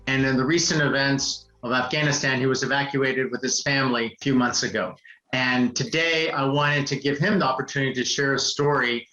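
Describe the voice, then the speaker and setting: Guy hosting an interview, relaxed, asks questions, echoey room. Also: mid-range voice. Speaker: interview host. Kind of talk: casual interview. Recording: roomy, slightly dull sound.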